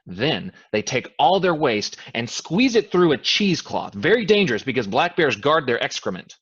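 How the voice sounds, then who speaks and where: Guy playing a wheedling casual dude in a play reading, very animated pitch, stammery, muffled sound. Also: normal speed, slightly rough. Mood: proud, salesy. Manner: hesitant, stammering, persuasive. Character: eager, casual, wheedling newcomer with expensive booze.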